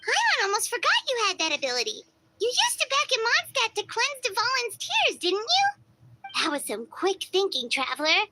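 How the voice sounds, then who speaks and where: A woman voicing a small, childlike fairy companion in a video game, squeaky and chirpy in an earnest way. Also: wildly swooping pitch, moderate pace, noisy background, heavily acted. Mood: curious, concerned. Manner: speaks of herself in third person, chirpy.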